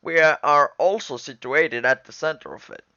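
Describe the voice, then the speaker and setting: A man plays a patient alien military commander from an animated sci-fi film. He speaks formally and proudly, like a mentor briefing trainees. The recording is very dry and slightly dull. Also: a little high, dry recording. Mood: instructive. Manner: formal, explanatory, measured.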